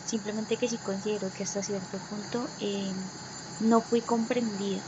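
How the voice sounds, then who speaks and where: A woman talks in a level, little-varying voice of medium pitch, reflecting on herself in a casual way. She hesitates and often seeks agreement with small questions. Noticeable noise and insect chirps sit behind her. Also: little pitch movement, normal speed. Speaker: conversation participant, writer. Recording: muffled lo-fi audio, noisy, insects.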